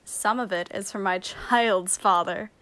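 A woman's voice: medium pitch, a little slow, a bit bright, natural voice. A woman playing a proud, lovelorn young banished noblewoman in a Shakespearean radio play, speaking earnestly. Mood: lovesick.

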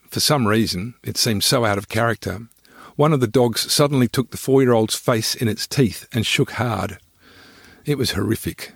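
A man reading his own scripted first-person travel memoir in a measured storytelling way, close to the microphone. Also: dry recording. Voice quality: low, husky, creaky.

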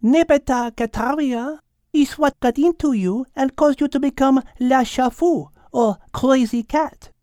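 A man's voice: sits high, slow pace, dry recording, pitched above the performer's own voice. A man plays an elegant storybook lady cat. The voice is raised, composed and certain, in a dry recording. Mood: calm, firm. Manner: calm, certain, matter-of-fact. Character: calm, elegant petite female French cat.

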